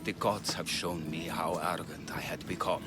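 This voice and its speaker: An actor playing a compassionate, troubled physician of ancient Greece in a video game, speaking earnestly and gravely. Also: male voice, low voice, fairly even intonation, moderate pace, natural voice. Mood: grave, sorrowful. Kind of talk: dramatic video game acting. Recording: music behind the voice.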